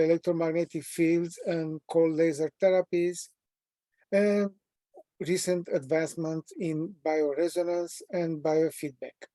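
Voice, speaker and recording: A man in his sixties from Romania, with a Romanian accent, presenting a training webinar at a measured, deliberate pace with frequent pauses. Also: fairly high pitch, mellow, dry recording. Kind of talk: prepared self-introduction in a training webinar.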